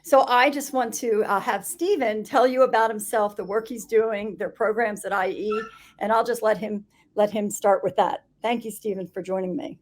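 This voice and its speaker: A woman's voice: mid-range voice, steady tone, moderate pace, slight background noise. A woman hosting a live business interview, introducing her guest in a friendly, slightly hesitant way. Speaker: interview host. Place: video call.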